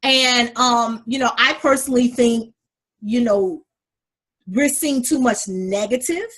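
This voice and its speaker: A woman co-hosting a casual talk show, animated and sassy, calling listeners honey. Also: a little high, takes it slowly, slightly rough. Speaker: podcast co-host. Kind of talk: casual chat.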